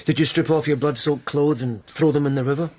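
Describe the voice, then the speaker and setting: Actor playing a probing court investigator. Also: male voice, a little high, steady tone, fairly quick, darkish tone, lightly acted. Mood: accusing, goading. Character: male court investigator, probing, mannerly but goading. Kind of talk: BBC radio drama acting.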